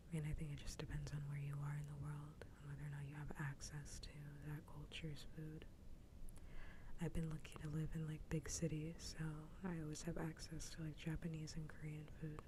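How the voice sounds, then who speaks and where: A woman streaming ASMR, chatting casually in a soft, breathy, hushed whisper close to the microphone. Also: low-pitched, noisy background. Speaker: ASMR livestreamer host. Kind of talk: ASMR casual chat with viewers.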